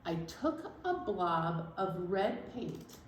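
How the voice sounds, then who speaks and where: Female children's story time host, playful and unhurried, clear step-by-step delivery, roomy echo with faint noise. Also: lowish voice, very animated. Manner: playful, simple step-by-step explaining. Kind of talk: children's story time presentation, poem recital.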